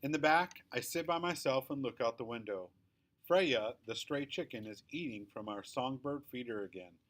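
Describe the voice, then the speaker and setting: A man narrates a children's chapter book in a middle-pitched voice. He reads the scripted first-person story with expression, leaning on key words as a storyteller does. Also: moderate pace, a bit bright. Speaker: read-aloud storyteller.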